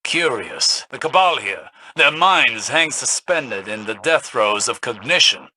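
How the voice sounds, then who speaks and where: Game companion spirit: erudite, solemn investigator, medium pitch, very bright intense tone, dry studio sound. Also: male voice, sing-song, measured pace, no echo, exaggerated voice, low-quality recording. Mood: pensive. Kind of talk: dramatic video game dialogue.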